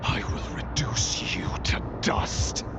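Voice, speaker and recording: An actor playing a menacing, vengeful video game villain, theatrical and gloating, sliding between breathy threats and roars. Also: male voice, takes it slowly, whispered, natural voice. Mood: cold menace. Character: menacing, vengeful male villain taunting his foes. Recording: muffled audio under loud music.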